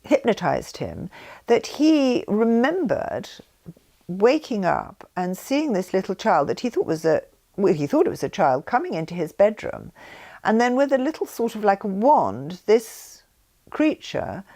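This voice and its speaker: A woman's voice: mid-range voice, wildly swooping pitch, a little slow, vocal fry, mellow. A woman giving an informal explanatory talk with anecdotes, rambling and often breaking off to rephrase mid-sentence. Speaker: speaker sharing personal beliefs.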